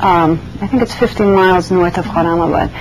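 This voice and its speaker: A woman who grew up in Iran, being interviewed and recalling her past in a reflective, hesitant way, with many pauses and restarts. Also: fairly low pitch, background noise. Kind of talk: oral history interview.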